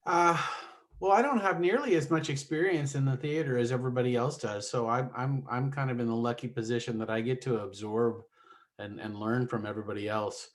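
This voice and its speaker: A man introducing himself to a committee in a modest, relaxed way. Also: mid-range voice, brisk. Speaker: committee member. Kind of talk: casual self-introduction.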